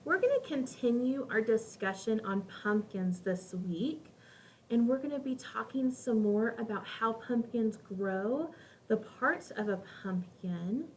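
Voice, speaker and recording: High-voiced woman teaching young children. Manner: child-directed, simple wording with questions to listeners. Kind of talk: read-aloud lesson for young children. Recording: distant microphone with faint background noise.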